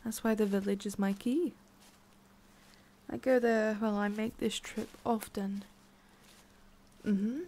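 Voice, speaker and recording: A woman voicing a haughty, prickly witch with a hidden soft side in an ASMR roleplay, soft and often whispery with a creaky edge. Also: medium pitch, fairly even intonation, takes it slowly, long pauses. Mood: smug, boastful. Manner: soft, often whispery, breathy sighs, curt asides.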